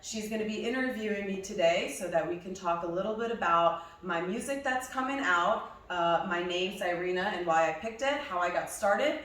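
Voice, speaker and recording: Chatty singer in an echoey room. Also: female voice, mid-range voice, normal speed, few pauses, fairly bright tone, recorded from a distance. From New Jersey, USA. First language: Hebrew. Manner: chatty, laughs often, thinks aloud with ums. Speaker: singer being interviewed. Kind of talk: casual interview chat.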